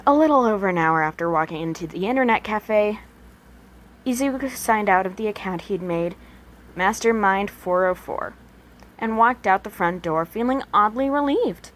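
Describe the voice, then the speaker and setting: A woman's voice: mid-range voice, animated, normal speed. A woman narrating a story as an amateur audiobook reader, working from a written script. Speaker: podfic reader.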